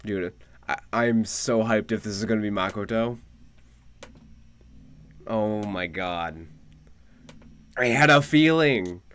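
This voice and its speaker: A man's voice: fairly low pitch, slow, long pauses, fairly bright tone, a little hiss or hum. A man streaming a video game, giving casual live commentary and musing aloud. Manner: muses aloud, repeats phrases, casual swearing.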